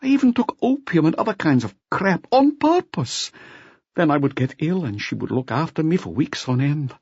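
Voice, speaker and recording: A man's voice: a little high, sing-song, moderate pace, darkish tone, slightly rough, no echo, highs cut off, heavily acted. A man voicing a bitter, self-loathing drunkard who confesses with agitated self-pity in an audiobook. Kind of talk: audiobook character acting.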